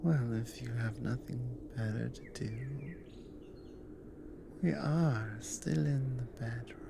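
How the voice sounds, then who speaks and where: A woman voicing a tender, teasing, dominant giant Nord barbarian wife in an intimate ASMR roleplay, in a slow, breathy murmur with soft hums. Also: extremely low pitch, noisy background, voice pushed down, heavily acted. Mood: flirtatious.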